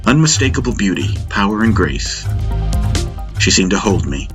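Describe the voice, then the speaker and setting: A man's voice: fairly low pitch, very animated, moderate pace, over music. A man reading scripted first-person fiction as an audiobook narrator.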